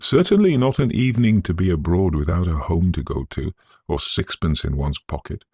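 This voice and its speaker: A very deep-voiced man narrates a Victorian ghost story. His delivery is scholarly and measured, with a husky grain, recorded close and dry. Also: expressive, dark tone, no echo.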